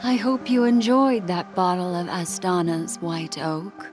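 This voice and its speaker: A woman's voice: fairly low pitch, steady tone, unhurried, close to an everyday voice. Woman voicing a poised, mysterious memory reader. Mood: courteous. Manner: polished, courteous, coolly teasing. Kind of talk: video game voice acting. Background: game music.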